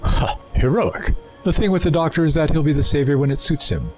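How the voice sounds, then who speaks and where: A man's voice: fairly high pitch, a little fast, darkish tone, soft background music, close to an everyday voice. Wry, mocking actor as a male alien schemer. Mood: mocking, chatty. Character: sly, talkative male alien time traveller, the Doctor's rival. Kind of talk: full-cast audio drama acting.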